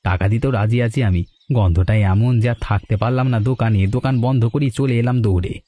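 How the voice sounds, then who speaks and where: A man's voice: mid-range voice, fast talker, dark tone, dry recording, lightly acted. A man playing a friendly, humble butcher in a cartoon story, amiable and effusive with his praise. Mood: eager.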